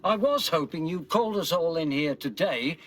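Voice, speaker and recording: A man's voice: a little high, expressive, normal speed, fairly bright tone. An actor playing a principled male police commissioner, formal and challenging in manner. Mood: disapproving.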